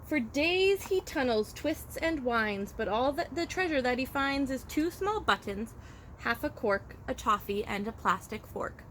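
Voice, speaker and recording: A woman reading a children's picture book aloud as a childcare storyteller, expressive and rhythmic with the rhymes. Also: high-pitched, unhurried. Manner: expressive, rhythmic rhyming delivery. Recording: faint background noise.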